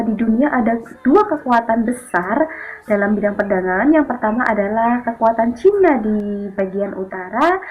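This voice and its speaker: A woman teaching a lesson, explaining step by step in an orderly, didactic way. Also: a little high. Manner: didactic, signposts each point in order. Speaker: history teacher. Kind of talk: explanatory history lesson for students. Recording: thin phone-like audio with music behind. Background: background music.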